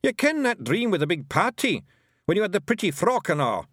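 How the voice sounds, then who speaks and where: A man voicing a scrappy, boastful tiny male pixie chieftain with a gruff Scottish brogue and cheeky manner. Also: sits high, sing-song, a little fast, fairly bright tone, dry recording, clean studio sound, voice pushed up, heavily acted.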